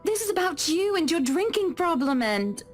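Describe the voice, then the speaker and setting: A poised young-sounding wife in a visual novel, with a high voice. She is measured and sugary, placating yet gently reproachful, with faint music underneath. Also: big pitch swings.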